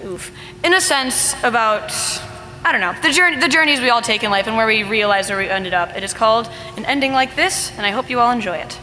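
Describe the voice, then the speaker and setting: A teenage girl, a student performer, introducing her original song on stage in a large hall, flustered and rushed. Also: on the high side, lively intonation, sharp tone. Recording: live stage sound with guitar.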